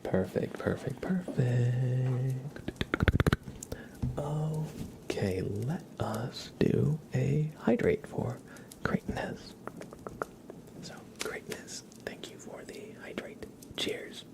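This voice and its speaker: A man speaks in a hushed whisper that slips into a low, gravelly murmur. He takes his time, pausing often as he gently and playfully hosts an ASMR livestream for his viewers. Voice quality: breathy whisper mixed with soft, creaky low voice.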